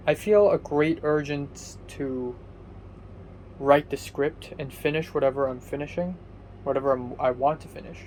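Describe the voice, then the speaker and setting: A young man giving a casual diary vlog from his apartment. His voice is muffled and raspy, and he talks hesitantly, rambling with many ums and restarts. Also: on the high side, a little mellow, slight background noise. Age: young adult. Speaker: vlogger.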